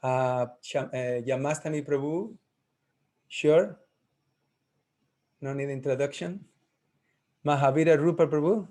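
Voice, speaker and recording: A man teaching a class on a video call, taking roll in a friendly, halting way, thinking aloud as he goes. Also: a little high, a little mellow.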